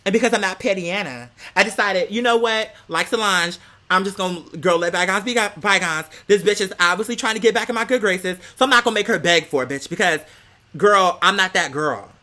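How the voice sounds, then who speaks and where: A man's voice: high-pitched, fairly quick, sharp tone. An American man telling a casual story as a rant to viewers, with a sassy, theatrical, slang-heavy way of talking. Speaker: vlogger.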